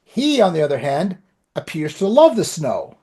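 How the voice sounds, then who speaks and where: A man's voice: a little high, big pitch swings, moderate pace, dark tone, breathy edge. A man telling anecdotes in a rambling, informal way as a podcast co-host. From Canada. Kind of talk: casual chat, anecdotes.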